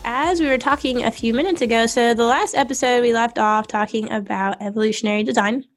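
A woman hosting a casual tech podcast panel, her voice a little hoarse from being sick, keeping things moving with friendly, quick introductions. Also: a little high, faint music behind. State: hoarse from illness. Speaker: podcast host.

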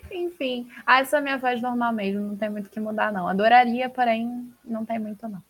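A woman with a Brazilian accent and a high voice hosts a podcast. She chats casually and informally, with animated, slangy phrasing. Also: quick pace, slightly rough, low-quality recording. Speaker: podcast host. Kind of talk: casual chat about books.

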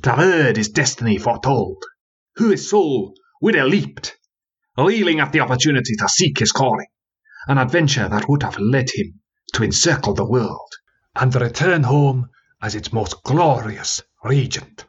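Proud old man storyteller, played by a male narrator, grand, impassioned, lively swoops, husky, lo-fi. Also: mid-range voice, normal speed, voice pushed up. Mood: rapturous.

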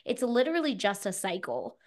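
Woman co-host, young adult, nasal from a cold, chatty and filler-heavy, mid-pitched voice. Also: wildly swooping pitch, fairly quick. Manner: chatty, frequent "like" and "you know". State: stuffy from a cold. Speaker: podcast co-host, fitness coach. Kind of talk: casual advice chat.

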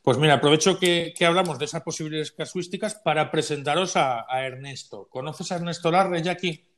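A man's voice: fairly high pitch, fairly quick, a bit bright. Conversational male podcast host. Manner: conversational, with frequent restarts. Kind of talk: podcast hosting.

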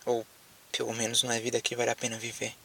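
A man acting in an audio drama as a lonely, frightened apocalypse survivor with a Brazilian accent, speaking haltingly as if on a phone call. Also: medium pitch, completely flat delivery, vocal fry, pushed and bright, distant mic, slight background noise. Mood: resigned despair. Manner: hesitant, halting, repeats words.

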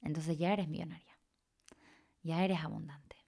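A woman's voice: low-pitched, fairly even intonation, normal speed, gravelly, mellow, breathy edge. Chilean woman hosting a reflective podcast. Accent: Chilean Spanish, slightly mixed. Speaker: podcast host and coach. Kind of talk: reflective solo podcast talk.

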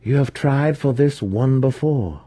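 A man voicing a scheming female dark elf matron in a fantasy audiobook, condescending, threatening and sneering. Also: mid-range voice, unhurried, slightly rough, voice pushed up.

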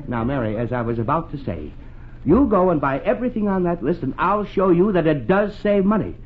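A man's voice: fairly high pitch, sing-song, brisk, slightly rough. An actor playing an exasperated, penny-pinching middle-aged American father in a 1940s radio comedy, blustering, pompous and sputtering. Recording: tinny 1940s radio broadcast recording.

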